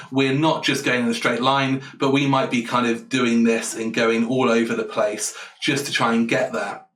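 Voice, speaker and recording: A man's voice: medium pitch, a bit bright. A man thinking aloud on a live stream while designing a board game, eager and rambling as he answers chat. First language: English. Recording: roomy, distant from the mic.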